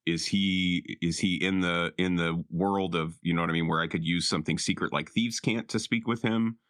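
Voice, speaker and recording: A man's voice: deep voice, steady tone, normal speed, a bit bright, dry recording, clean studio sound. A man chatting casually and informally as a player in a tabletop role-playing game.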